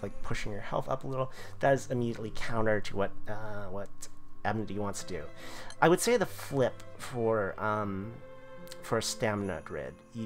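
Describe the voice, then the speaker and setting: Guy with a gravelly worn-out voice rambling thru a game review, faint music behind. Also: fairly low pitch. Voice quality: gravelly, voice wearing thin. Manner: rambling, filler-heavy explanations. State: losing his voice. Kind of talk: casual video commentary.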